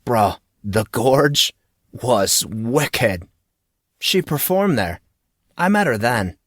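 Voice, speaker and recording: A man acts out a teenage dude in an audiobook, excitable yet laid-back. His speech is slangy and full of amazement, in a dry recording. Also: medium pitch, dry recording, exaggerated voice. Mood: amazed. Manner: slangy, drawn-out dude talk.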